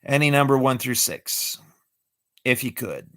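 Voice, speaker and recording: A man's voice: mid-range voice, animated, a little slow, a bit bright, dry recording, studio quality. A man chatting casually on a live stream, explaining his magic tricks step by step. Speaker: amateur magician.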